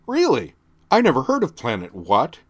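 A man voicing a loud, scornful teenage girl tourist who snaps sarcastically at officers. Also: very animated, normal speed. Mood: scornful, bratty. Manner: snappish, sarcastic, shrill.

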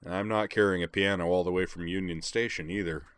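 Brawny storybook big guy, male reader acting: lowish voice, wry, plain-spoken, good-natured. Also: fairly even intonation, brisk, a bit bright. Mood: wry, grumbling. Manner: wry, matter-of-fact.

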